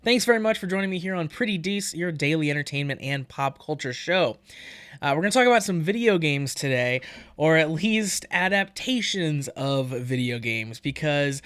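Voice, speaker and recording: Male podcast host, smooth clear voice, slightly high, chatty entertainment commentary. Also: expressive, moderate pace, fairly bright tone, no echo, studio quality. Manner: chatty, informal, conversational. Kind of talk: casual entertainment news commentary.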